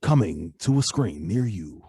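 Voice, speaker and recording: A man doing a husky, dark commercial announcer. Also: low voice, very animated, unhurried, no room sound, voice pushed down, exaggerated voice. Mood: promotional. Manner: punchy promo delivery, rising and falling. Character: smooth male commercial announcer. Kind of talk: commercial voiceover read.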